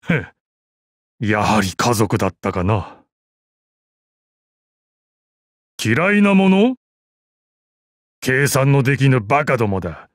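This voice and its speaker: Gruff old martial arts master, close and dry. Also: male voice, medium pitch, no echo. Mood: curt, scornful. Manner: archaic old-man speech, gruff dignity, dry amusement. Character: proud, battle-hardened old martial arts master assassin. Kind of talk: Japanese mobile game character voice lines.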